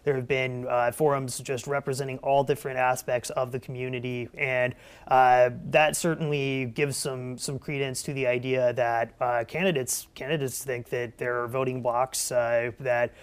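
A man's voice: mid-range voice, flat delivery, normal speed, smooth. A man on a panel discussion, speaking hesitantly with many fillers and repeated words.

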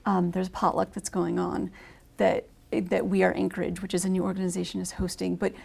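A woman's voice: on the low side, monotone, fairly quick, creaky, a little mellow. A woman speaking on a radio-style panel discussion, chatty and enthusiastic, often restarting her sentences.

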